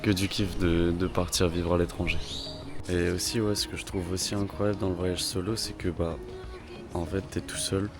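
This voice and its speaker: A young man from France talks to his camera in a loose, rambling way, full of slang and restarts. His voice sits low and stays fairly flat. Music plays clearly behind him. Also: moderate pace. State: slightly hoarse from a cold. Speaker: vlogger. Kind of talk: casual travel vlog talk.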